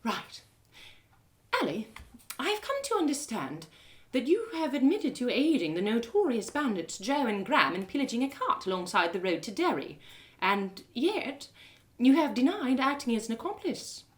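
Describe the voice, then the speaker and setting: Audio drama grande dame, older, refined and commanding, precise diction, very animated intonation, voiced by a woman. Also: on the high side, moderate pace, voice pushed up. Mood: imperious. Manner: formal, condescending, precise. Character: authoritative, refined older noblewoman, Lady Lautrec.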